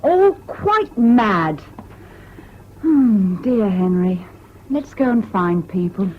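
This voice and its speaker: A woman's voice: medium pitch, sing-song, extremely slow. Languid, mocking young Englishwoman Leah. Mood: languid, impatient. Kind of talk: 1970s British radio drama acting. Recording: dull, hissy old radio recording.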